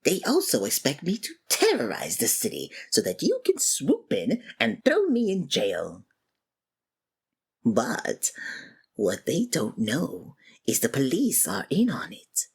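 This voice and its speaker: A woman playing a sardonic, theatrical supervillain who monologues and taunts in a scripted ASMR roleplay, with a breathy voice. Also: extremely low pitch, huge pitch swings, takes it slowly, a little mellow, heavily acted. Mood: matter-of-fact, sardonic.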